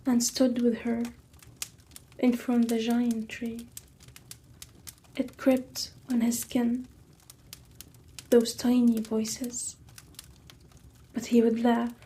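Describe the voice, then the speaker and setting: A woman narrating a scripted ASMR story in a soft, hushed manner with drawn-out phrasing. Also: on the high side.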